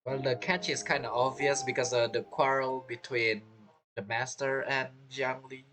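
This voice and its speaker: A man's voice: medium pitch, fairly even intonation, moderate pace, sharp tone, poor audio. A man giving casual, informal gameplay commentary as a streamer, with non-native grammar. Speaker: streamer, gamer. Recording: game music behind the voice.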